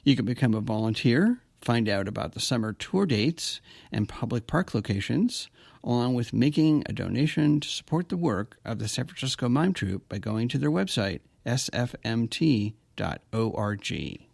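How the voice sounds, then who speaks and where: A man presents as a podcast host. His voice has a noticeable gravelly fry and sits in a medium-to-low register. The sound is dry, with very little room. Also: lively intonation, normal speed, a little mellow, dry recording. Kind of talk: scripted podcast narration and interview hosting.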